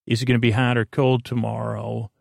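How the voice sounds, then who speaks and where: A man with a mid-pitched, gravelly creak talks in a dry, echo-free recording. He rambles as a bedtime podcast host would, hesitating, drifting off course and circling back to where he was. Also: little pitch movement, brisk. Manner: meandering, hesitant, keeps losing his thread and doubling back.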